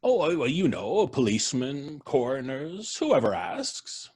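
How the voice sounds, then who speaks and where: An actor playing a calm, amused man who cheerfully expects to die soon, talking in an unhurried, cryptic way. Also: mid-range voice, breathy edge, exaggerated voice. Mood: serene. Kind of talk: live radio drama reading.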